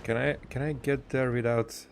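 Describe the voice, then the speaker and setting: A man giving casual, rambling game commentary as a streamer, speaking haltingly and often repeating and restarting his phrases. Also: mid-range voice, fairly even intonation, gravelly, slight background noise. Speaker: livestreamer playing a game.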